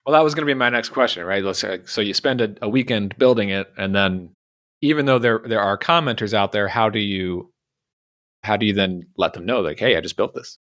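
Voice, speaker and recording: Low-voiced male podcast host. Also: fairly quick, fairly bright tone. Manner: probing, restarts his questions. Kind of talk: casual interview questions.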